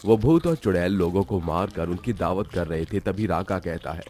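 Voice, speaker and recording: A man's voice: low voice, expressive, normal speed, mellow. A man giving scripted narration of a cartoon horror tale as the storyteller. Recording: music under the voice.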